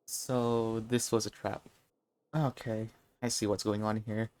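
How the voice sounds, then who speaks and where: A man doing casual gameplay commentary in a dry, low-key way, with game music behind him. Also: little pitch movement, unhurried, darkish tone, slight background noise. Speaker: game streamer. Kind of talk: casual gameplay commentary, reading dialogue replies aloud.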